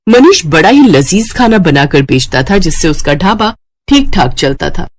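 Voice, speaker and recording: A woman narrating a scripted story in an expressive storytelling manner. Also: lowish voice, normal speed.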